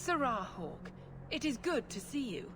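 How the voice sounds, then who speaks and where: An actress voicing a scheming, zealous priestess in a video game, with a formal, controlled and sanctimonious manner. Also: female voice, a little high, sing-song, measured pace. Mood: cold, smug. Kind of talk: dramatic video game acting. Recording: loud ambient noise behind.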